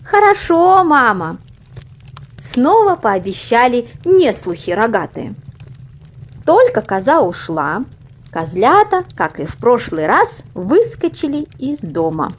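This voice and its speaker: A woman reading a fairy tale aloud as expressive, scripted storybook narration. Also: on the high side, a little slow, slightly rough. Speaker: audio fairy tale reader.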